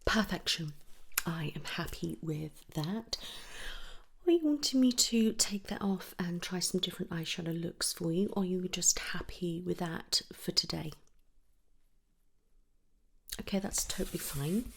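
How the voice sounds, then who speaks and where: A woman in an ASMR roleplay as a make-up artist, slipping between soft whispers and hushed murmurs in a gentle, reassuring, unhurried manner. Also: on the low side, creaky, a little hiss or hum. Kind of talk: ASMR personal-attention roleplay.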